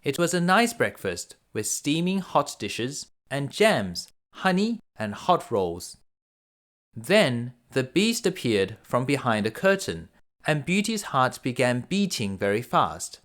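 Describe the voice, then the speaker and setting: Male children's story narrator: mid-range pitch, lively intonation, expressive scripted reading. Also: slow pace. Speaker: bedtime story reader.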